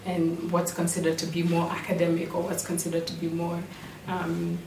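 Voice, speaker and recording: A woman with a Kenyan accent shaped by British schooling reflects in a group, halting as she thinks. Her voice sits on the low side, heard through room echo with a faint layer of noise. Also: monotone. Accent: Kenyan with British-school influence. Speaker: performer. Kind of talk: reflective personal talk in a group. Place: indoor room at an in-person group meeting. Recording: echoey room, distant mic, faint noise.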